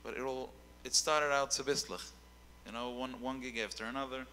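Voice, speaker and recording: A young adult man, a singer as guest, talking casually into a handheld mic on a dark stage, often repeating words as he thinks. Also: medium pitch, steady tone, fairly quick, big-room sound, slight background noise, rough recording. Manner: rambling, repeats words while searching.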